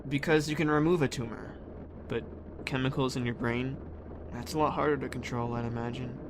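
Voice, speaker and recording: A young man playing a blunt, troubled teenage boy in an audio drama, casual and halting. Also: medium pitch, steady tone, natural voice. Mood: scared, frustrated. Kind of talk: fan audio drama acting. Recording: noisy ambience behind the voice.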